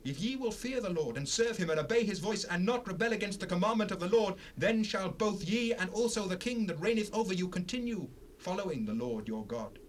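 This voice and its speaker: A man's voice: high voice, expressive, brisk, hardly any pauses. A man playing an old, stern, righteous prophet in a dramatized scripture recording, speaking in solemn, formal declamation. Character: old grey-headed prophet, stern, righteous leader addressing his people. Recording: noisy cassette rip, distant voice.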